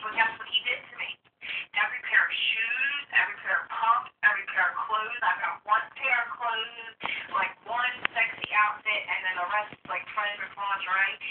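A woman's voice: mid-range voice, steady tone, moderate pace, far from the mic. A woman chatting casually on a phone call in brash, profanity-laced slang. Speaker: prank call recipient. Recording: roomy, noisy tinny phone line.

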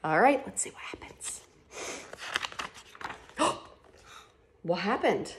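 A woman in her thirties reading a picture book aloud to children in an animated, expressive way, like a teacher at story time. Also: mid-range voice, noisy background. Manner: expressive, with gasps and drawn-out words for children. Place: living room at home, on a couch. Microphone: phone camera about a metre away.

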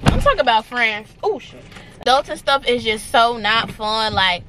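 A woman's voice: fairly high pitch, normal speed. A young woman around twenty chatting casually to camera in a slangy, dramatic way, as a vlogger. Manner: animated, slangy, dramatic complaining. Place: at home, then inside a car. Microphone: camera at arm's length or propped nearby. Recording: noisy camera audio with car hum.

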